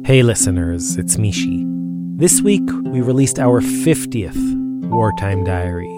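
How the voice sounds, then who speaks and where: A man hosting a podcast, narrating in a calm, measured way. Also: on the low side, over loud music. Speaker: podcast host. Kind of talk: scripted narration.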